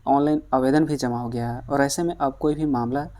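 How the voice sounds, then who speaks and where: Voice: mid-range voice, fairly even intonation, a little fast, dark tone. A news presenter giving explanatory commentary for online viewers, addressing them as friends.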